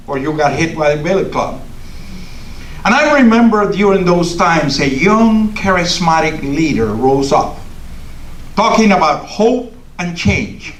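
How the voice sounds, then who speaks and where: A man's voice: fairly high pitch, a bit bright, recorded from a distance, a little hiss or hum. Older Cuban-accented man, echoey hall. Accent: Cuban Spanish. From Cuba. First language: Spanish. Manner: emphatic, preacherly, builds with repetition. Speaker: guest speaker. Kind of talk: political speech. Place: meeting hall of a congregation.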